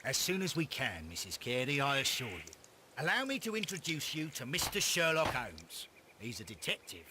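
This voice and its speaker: A man playing an officious but polite police inspector in a video game, formal and brisk. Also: mid-range voice, wildly swooping pitch, background noise. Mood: polite, reassuring. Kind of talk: scripted game dialogue. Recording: game music behind voice.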